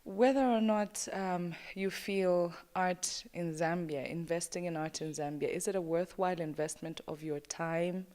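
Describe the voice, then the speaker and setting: A woman's voice: fairly low pitch, unhurried, few pauses, warm tone. A woman interviewer asking questions in formal, wordy phrases.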